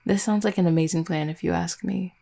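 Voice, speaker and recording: A woman's voice: lowish voice, fairly even intonation, fairly quick, gravelly, dark tone. A woman playing an affectionate, teasing vampire girlfriend in an intimate roleplay audio, speaking in a tender, conversational way. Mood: doting.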